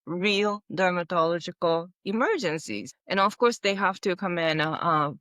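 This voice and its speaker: A woman's voice: on the low side, normal speed, a bit bright, dry recording, clean studio sound. A Japanese-born woman, a veterinary dermatologist, talking in a casual interview, hesitant and searching for words with many fillers.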